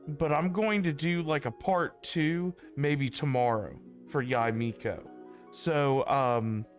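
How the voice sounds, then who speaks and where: A man chatting casually on a game livestream, rambling and repeating himself as he talks to his viewers. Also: fairly high pitch. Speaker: game streamer. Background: faint game music.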